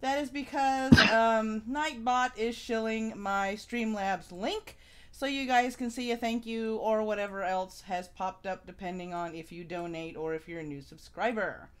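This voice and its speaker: A woman's voice: fairly high pitch, steady tone, measured pace, smooth. A woman hosting a casual live stream, making announcements with a dry, wry humor. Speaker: show host.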